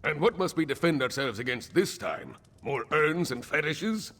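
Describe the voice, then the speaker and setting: An actor playing a proud, weary, aging northern jarl in a video game, blunt and sardonic, with a gritty voice. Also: male voice, on the high side, big pitch swings, moderate pace, fairly bright tone, long reverb tail, full character voice. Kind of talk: video game character acting.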